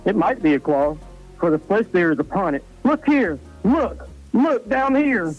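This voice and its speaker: A man's voice: high-pitched, lively intonation, a little slow, dull audio. A man playing an aged Christmas ghost in a radio play, grave and archaic, through a radio-like filter. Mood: urgent. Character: aged ghost of Christmas present, solemn and warning. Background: music.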